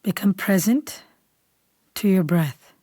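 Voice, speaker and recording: A woman leading a guided meditation in a calm, unhurried, soothing way, softly and at times almost whispering. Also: mid-range voice, no echo, studio quality. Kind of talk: guided meditation, slow scripted instruction.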